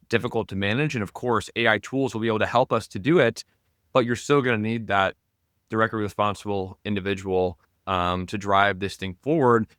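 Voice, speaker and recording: A man's voice: fairly low pitch, a bit bright, smooth, no room sound, clean studio sound. A man hosting a podcast, chatting casually and joking with his guest. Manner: friendly, joking. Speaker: podcast host. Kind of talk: casual interview chat.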